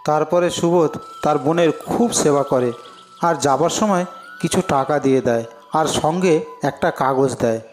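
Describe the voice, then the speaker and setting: A man's voice: on the high side, moderate pace, faint music behind. A man narrating a scripted cartoon story as its storyteller.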